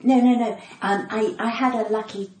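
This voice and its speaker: A woman's voice: fairly high pitch, steady tone, a little mellow. A woman with a posh English RP accent telling anecdotes theatrically in a casual interview, like a practised comedian. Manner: theatrical, uses dramatic pauses and acted dialogue. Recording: echoey room with faint background noise.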